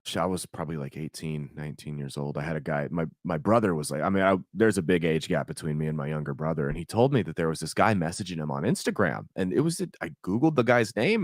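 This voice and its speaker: A man's voice: low-pitched, no echo, clean studio sound. A young man, a stand-up comic guest on a casual podcast, speaking bluntly with lots of swearing. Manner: blunt and profane, talks fast ahead of his thoughts.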